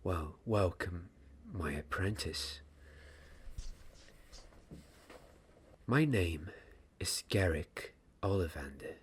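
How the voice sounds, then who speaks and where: A young man playing a gentle, mysterious wizard wand maker in a soft, half-whispered ASMR roleplay, speaking deliberately in short phrases. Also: low voice. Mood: gentle, welcoming. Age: 20s. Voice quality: hushed, breathy, half-whispered. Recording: audible background hiss, small clicks.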